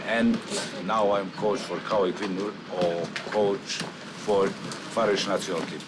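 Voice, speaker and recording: A middle-aged man from Serbia, a football coach, speaking halting non-native English in an interview. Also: lowish voice, fairly even intonation, background noise. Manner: halting, broken English with restarts.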